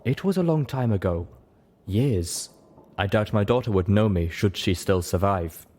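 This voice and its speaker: A man's voice: lowish voice, lively intonation, a little slow, dark tone. A man voicing a reserved zebra mother who speaks formally and steadily, with sorrow held in. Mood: sorrowful, steady.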